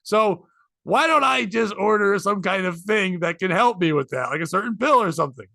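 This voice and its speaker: A man in his forties with a high, gravelly and bright voice. He chats candidly and rambles as a podcast host, swearing freely. The sound is dry and close. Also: expressive, normal speed, dry recording. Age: 40s. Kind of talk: casual chat.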